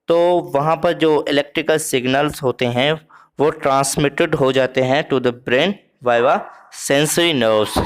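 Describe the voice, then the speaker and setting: A man teaching a biology lesson, slow and halting with many fillers, reading English lines and then explaining them simply. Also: mid-range voice, smooth.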